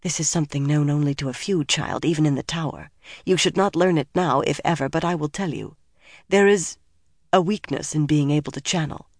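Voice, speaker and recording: A woman narrator voicing a solemn, motherly but secretive senior sorceress in an audiobook, speaking in hushed, measured, firm tones. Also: low-pitched, no echo, studio quality. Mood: hushed, urgent.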